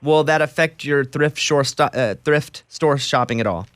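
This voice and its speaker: A man's voice: fairly high pitch, normal speed, fairly bright tone, no room sound. A man hosting a radio interview in a casual, easygoing chat. He talks with eager enthusiasm and keeps restarting sentences. His voice sits close and dry on the mic, with no room around it. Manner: chatty, enthusiastic, trails into restarts. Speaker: radio show host.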